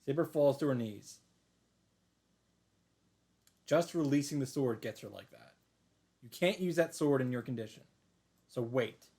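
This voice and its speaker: A man's voice: mid-range voice, measured pace, pauses often, warm tone, breathy edge. A man reading a visual novel's first-person narration aloud in an earnest, dramatic way and commenting as he goes. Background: game music.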